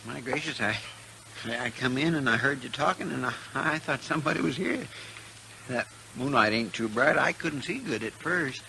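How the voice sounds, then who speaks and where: An actor playing a loyal, deferential frontier deputy in a radio western, with a rural Western American twang and a rambling, stammering way of talking. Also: male voice, mid-range voice, moderate pace, gravelly, sharp tone. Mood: concerned, chatty. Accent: American Western rural drawl. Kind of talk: 1950s radio western acting. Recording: noisy vintage 1950s radio broadcast.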